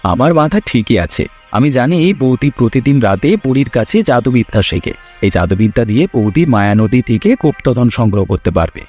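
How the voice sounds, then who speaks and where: A man's voice: mid-range voice, lively intonation, fairly quick, darkish tone, slightly rough, faint music behind. A man voicing a greedy, pushy younger village schemer in a cartoon fairy tale, insistent and needling. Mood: threatening.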